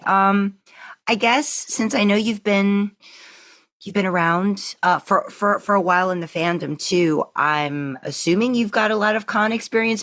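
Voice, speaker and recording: A woman's voice: on the low side, a bit bright. A woman co-hosting a podcast, chatting casually and informally as she presents and interviews.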